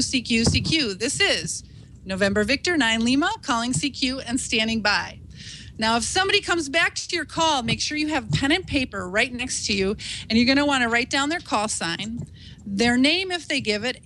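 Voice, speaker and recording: Bright-voiced woman giving informal lesson. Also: on the high side, slight background noise, poor audio. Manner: encouraging, reassuring, conversational. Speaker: instructor, ham radio operator. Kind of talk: informal instructional talk.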